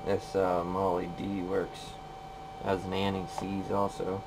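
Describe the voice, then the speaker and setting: A man with a low, creaky voice and a flat delivery talks casually through a hands-on repair. He keeps it terse and plain, like a machinist muttering over the job, with clear background noise from the workshop. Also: slow. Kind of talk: casual off-the-cuff shop commentary. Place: workshop, recorded while working on a machine. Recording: noisy workshop recording.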